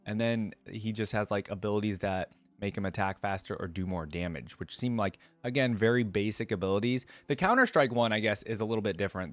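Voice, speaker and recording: Male reaction host, lower register, conversational and off the cuff, dry studio-close sound. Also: dry recording. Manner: conversational, thinking aloud. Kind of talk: casual reaction commentary.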